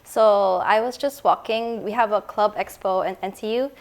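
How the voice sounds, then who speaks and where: A young woman on a student panel, lively and chatty as she tells about a trip, laughing easily. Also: mid-range voice, moderate pace. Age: young adult. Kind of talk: casual storytelling.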